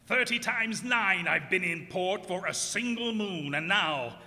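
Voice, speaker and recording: A blasphemous, doomed ship's captain for a radio play. The voice is piercing and high for a man, with slow, archaic, anguished declamation that swings widely. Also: animated, pushed and bright, full character voice. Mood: weary despair. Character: blasphemous, prideful ship's captain cursed to sail forever. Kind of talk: old-time radio drama acting. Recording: echoey hall, stage performance.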